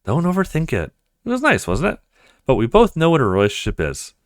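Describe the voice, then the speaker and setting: A man's voice: on the high side, wildly swooping pitch, fairly quick, no room sound, clean studio sound. A man voicing an easygoing, kind young male student in a visual novel, casual, reassuring and lightly joking.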